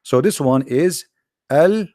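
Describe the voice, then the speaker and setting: A man whose first language is Arabic, lecturing combatively over on-screen text, with many halting fillers and slow, drawn-out stress on key words. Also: on the high side, lively intonation, dark tone, dry recording, clean studio sound. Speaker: religious commentator.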